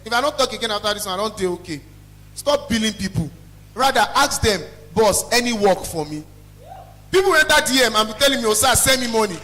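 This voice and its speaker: A young man with a Nigerian accent and a loud, carrying voice, giving an emphatic, blunt motivational talk as a business owner. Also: sits high, lively intonation, fairly quick, rough recording. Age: young adult. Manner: emphatic, blunt, repeats phrases for effect. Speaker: small chops business owner, guest speaker. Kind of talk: motivational talk to a student audience.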